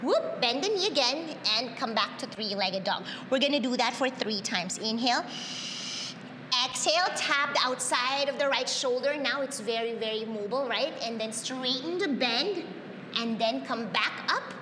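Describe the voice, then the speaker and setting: A woman's voice: a little high, animated, moderate pace, reverberant, in a large hall, background noise. A woman whose first language is Tagalog, leading a yoga class, cueing breaths in an upbeat way with frequent cheers. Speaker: yoga instructor. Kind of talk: guided yoga class instruction.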